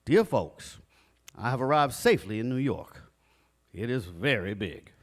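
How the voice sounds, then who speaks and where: A man's voice: medium pitch, animated, a little slow. An actor playing an earnest young adventurer reading out his letters home in a live stage drama, plain-spoken and declamatory. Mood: eager.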